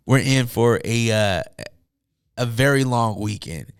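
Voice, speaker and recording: A young man with a hoarse, tired voice hosting a casual solo podcast, rambling loosely with many fillers and asides from a room at home. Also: medium pitch, slow pace, no room sound, studio quality. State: hoarse, losing his voice. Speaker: podcast host.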